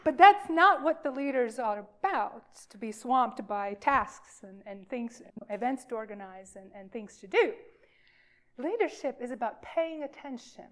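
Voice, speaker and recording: A woman minister giving an informal sermon for all ages, speaking in a halting, emphatic way with deliberate pauses. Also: fairly high pitch, animated.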